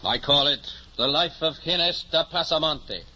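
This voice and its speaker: An actor playing a witty, proud, defiant convict in a 1950s radio drama. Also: male voice, on the high side, moderate pace, sharp tone. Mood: insolent, boastful. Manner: defiant, impatient, boastful. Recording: muffled, hissy old radio recording.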